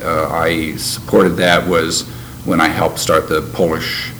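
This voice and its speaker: An older man who grew up in Canada, recalling his past in an interview in a measured, reflective way with frequent pauses. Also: a bass voice, flat delivery, a bit bright. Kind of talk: oral history interview. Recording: noisy background ambience.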